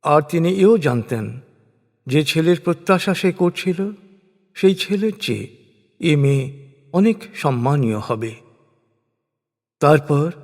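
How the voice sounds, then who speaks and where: A man reading scripture in a solemn, reverent scripted narration, pausing deliberately between phrases. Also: fairly high pitch, a little mellow. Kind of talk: solemn scripted religious recitation in prose. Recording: long reverberant hall-like echo.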